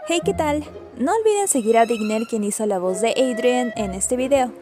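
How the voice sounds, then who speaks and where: A woman's voice: fairly high pitch, expressive, moderate pace, music in the background. A woman with a Latin American Spanish accent chatting casually as a channel host. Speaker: channel host and comic creator. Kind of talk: casual video intro and outro.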